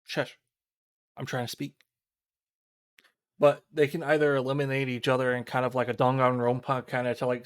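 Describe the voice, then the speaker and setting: A man giving casual commentary on a visual novel playthrough, explaining things in a rambling, halting way. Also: medium pitch, a bit bright, smooth. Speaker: game streamer. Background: game music.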